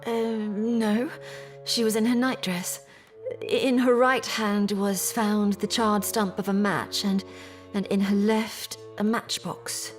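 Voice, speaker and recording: An actress playing a troubled young gentlewoman in an audio drama, telling her story in a measured, formal, earnest way. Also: medium pitch, flat delivery, soft background music, close to an everyday voice. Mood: sorrowful, earnest.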